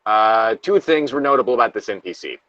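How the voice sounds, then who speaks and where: A man's voice: mid-range voice, expressive, fairly quick, bright, forceful tone, no echo, lo-fi sound. A man reading a horror story aloud as co-host, casually, with joking asides and the odd stumble. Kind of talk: casual co-reading of creepypasta with banter.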